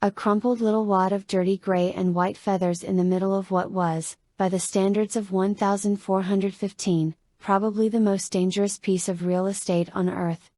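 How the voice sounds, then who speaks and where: Female audiobook narrator, medium pitch, soft dark timbre, conversational first-person storytelling for a young adult adventure. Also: flat delivery, normal speed, hardly any pauses, no room sound.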